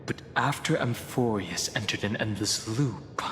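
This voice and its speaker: A man playing a grave, thoughtful guide in a fantasy game, explaining in a measured, solemn way. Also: fairly low pitch, animated, background noise. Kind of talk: dramatic game exposition. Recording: long reverb over music.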